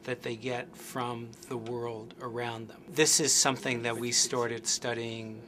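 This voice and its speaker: A male researcher giving a measured documentary explanation of infant studies. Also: medium pitch, flat delivery, sharp tone. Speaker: developmental researcher. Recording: clip with crowd noise behind.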